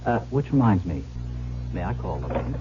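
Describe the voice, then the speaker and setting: A man's voice: low voice, very animated, a little fast. An actor playing a brash, carefree young heir who tells his own story in a radio drama, wry and breezy. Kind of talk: 1970s radio drama acting with first-person narration. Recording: dull old radio recording, faint hum.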